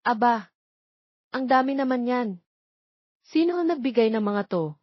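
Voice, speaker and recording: A woman's voice: fairly high pitch, animated, measured pace, no room sound, highs cut off, pitched above the performer's own voice. A woman voicing a caring young mother in an audio drama, fondly chiding and a little fretful. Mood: surprised. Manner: fond, mildly fretful, chiding. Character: caring young mother, gently scolding her twin sons.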